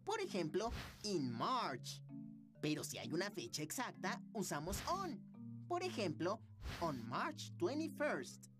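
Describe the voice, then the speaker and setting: A woman's voice: medium pitch, big pitch swings, a little slow. A woman giving scripted educational narration in an instructive manner. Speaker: lesson narrator. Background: marimba-like music.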